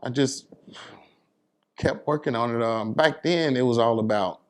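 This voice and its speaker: A man's voice: medium pitch, a little slow. A middle-aged American man chatting casually as an interview guest, telling his story in a laid-back, plain-spoken way.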